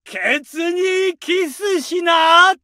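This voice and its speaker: A shouting high-voiced soldier. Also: male voice, drawn-out words, no room sound, studio quality, exaggerated voice. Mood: battle cry. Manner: shouted, urgent military barks. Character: young male soldier barking battle orders. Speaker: infantry soldier. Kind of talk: game combat voice lines.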